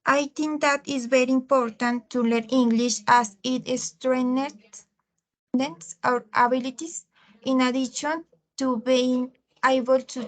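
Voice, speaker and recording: Slow, halting young woman learner. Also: high voice, fairly bright tone, low-quality recording. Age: 20s. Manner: halting, with restarts and fillers. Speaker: English learner. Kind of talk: reading a class presentation.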